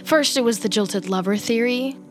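A woman's voice: on the high side, moderate pace, natural voice. Actress as flippant young office woman turned killer, slangy and sardonic, audio drama w music under. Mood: wry storytelling. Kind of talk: conversational audio drama acting and first-person narration.

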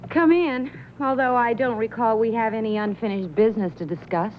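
A woman acting a sharp-tongued, easily offended woman with a snippy, challenging manner in an old-time radio drama. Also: on the high side, a little fast, natural voice. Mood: curt, wary. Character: prickly, indignant, sharp-tongued young woman. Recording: muffled, noisy vintage radio recording.

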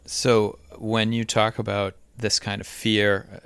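A man hosts the interview, asking brisk, attentive questions in a low, gravelly voice. The sound is close and dry. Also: little pitch movement, fairly bright tone, no room sound. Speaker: interviewer host. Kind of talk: conversational interview.

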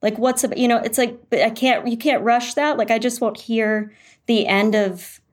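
A woman's voice: on the high side, steady tone, moderate pace. A woman author being interviewed, talking thoughtfully and candidly, searching for her words. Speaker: author and guest. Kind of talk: conversational interview.

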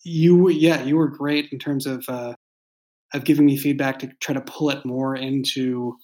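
A man's voice: mid-range voice, steady tone, moderate pace, a little mellow. A man speaking as a writer in a casual behind-the-scenes discussion, with a thoughtful, hesitant, rambling way of phrasing things. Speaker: writer and creator of an audio drama.

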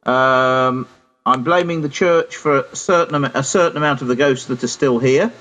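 A man's voice: medium pitch, moderate pace, fairly bright tone, poor audio. A British man chatting casually and chummily as co-host of a radio show, with a teasing streak.

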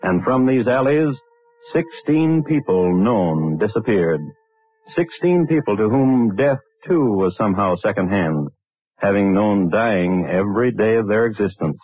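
An actor narrating an old radio crime drama as a grave, wry storyteller, measured and deadpan. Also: male voice, fairly low pitch. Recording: tinny, band-limited vintage radio recording.

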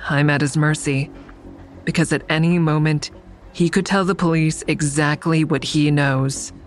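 Audio drama lead: guarded woman, deep for a female, wry and defiant, faint music behind. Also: fairly even intonation, unhurried. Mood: tense narration. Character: guarded, sardonic woman, crisis counselor with secret kills. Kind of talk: audio drama dialogue and first-person narration.

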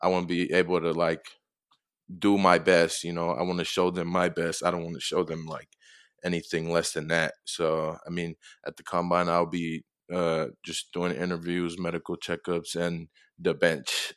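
A man's voice: sits low, monotone, normal speed, fairly bright tone, smooth, dry recording, studio quality. A young man from Tonga giving casual, somewhat hesitant interview answers as a college football player. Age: young adult.

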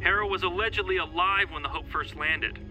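A man's voice: high voice, a little fast, over music, natural voice, poor audio. A man playing an urgent, formal news reporter in audio fiction, reading with emphasis. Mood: serious.

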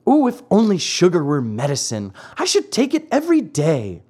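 A narrator voicing a whiny, stubborn little wooden boy marionette in a high, squeaky, childlike storybook voice. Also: wildly swooping pitch, unhurried, husky, exaggerated voice. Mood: delighted. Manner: whining, childlike, pleading.

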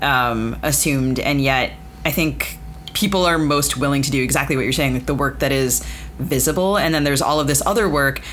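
A woman hosts a conversational interview. She phrases things thoughtfully and haltingly, working ideas out as she goes. Faint ambient noise sits behind her. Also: extremely low pitch, fairly bright tone. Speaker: podcast host.

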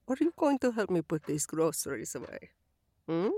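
An actress playing an eccentric elderly widow who rambles and jokes but hides something sinister, in an audio drama. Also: female voice, fairly low pitch, sing-song, fairly quick, dark tone, dry recording. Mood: doddering. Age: older. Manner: doddering, rambling, bawdy, turns menacing.

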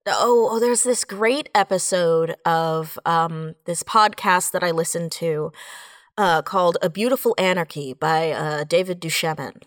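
A woman's voice: lowish voice, unhurried, no room sound, studio quality. A woman co-hosting a podcast, talking reflectively and casually, feeling for her words as she goes. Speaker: podcast co-host.

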